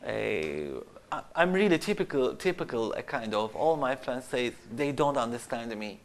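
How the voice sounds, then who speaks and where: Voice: medium pitch, very animated. A costume designer speaks casually in an interview, searching for words in English. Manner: halting, searching for words in non-native English.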